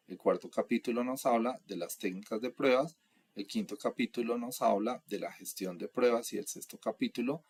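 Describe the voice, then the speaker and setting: A young man from Colombia delivers a methodical lecture, walking through points one by one. His voice sits low and carries a husky, gravelly texture. The delivery is even and instructional. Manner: steady, methodical, lists items one by one. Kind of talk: explanatory lecture narration.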